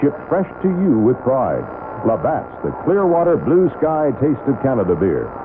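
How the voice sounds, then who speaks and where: A man's voice: medium pitch, wildly swooping pitch, normal speed. A man reading a scripted radio quiz and sponsor spot as an announcer. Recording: tinny, noisy old radio broadcast.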